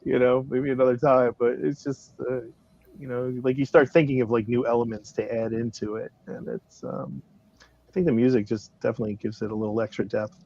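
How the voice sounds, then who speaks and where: Gravelly, rambling man in casual chat. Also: medium pitch, fairly even intonation, fairly quick, darkish tone, dull audio. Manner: rambling, punctuates talk with chuckles. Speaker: director on a production discussion panel.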